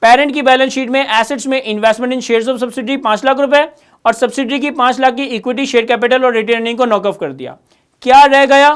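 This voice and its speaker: High-pitched, fast-talking Pakistani man lecturing. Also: clean tone. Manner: emphatic, repetitive, step-by-step explaining. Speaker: accounting lecturer. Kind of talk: instructional lecture.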